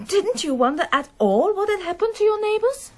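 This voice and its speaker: High-voiced, insistent young woman. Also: sing-song, normal speed, darkish tone. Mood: insistent, challenging. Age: young adult. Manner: probing, direct, insistent. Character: fierce, persistent, outspoken young woman. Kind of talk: radio drama acting.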